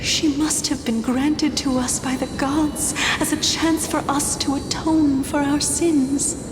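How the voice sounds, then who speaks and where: A woman's voice: sits high, steady tone, slow, background noise. A woman playing a sorrowful, dying survivor of a doomed city in a video game, speaking in solemn, archaic, formal phrases. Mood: pleading. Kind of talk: dramatic video game acting. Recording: echoing hall reverb under loud music.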